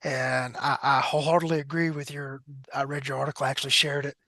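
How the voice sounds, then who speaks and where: A man with a mid-pitched voice, recorded dry with almost no room sound. He talks like a trainer hosting a panel, laying out his points with emphasis in a conversational way. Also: flat delivery, fast talker, creaky, bright, forceful tone, dry recording. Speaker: panel host, firearms trainer. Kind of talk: panel discussion.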